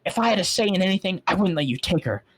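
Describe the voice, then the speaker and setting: A man reading the lines of an excitable, lovestruck young man, with excited, emphatic delivery. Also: high-pitched, quick pace, slightly rough, voice pushed up. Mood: pleading.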